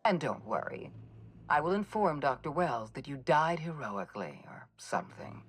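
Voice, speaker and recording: Game antagonist: stern ruthless commander, husky breathy tone, formal and coolly menacing. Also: female voice, sits low, very animated, moderate pace, no room sound, full character voice. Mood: dryly menacing. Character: cold, stern military commander, weary and ruthless. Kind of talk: video game villain monologue.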